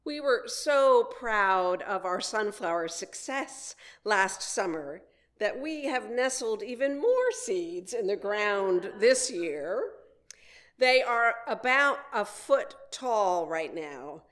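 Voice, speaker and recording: A woman preacher delivers a sermon as personal storytelling. She takes her time, speaking at a markedly slow pace in a mid-range voice, with a conversational manner and self-deprecating humor. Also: mid-range voice, big pitch swings, fairly bright tone. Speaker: minister preaching.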